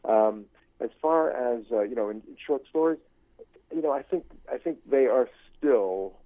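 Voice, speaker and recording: An older man speaking as an interview guest in a reflective, conversational way, haltingly searching for his words. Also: mid-range voice, no echo. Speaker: interview guest, novelist and screenwriting teacher. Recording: thin phone-like line.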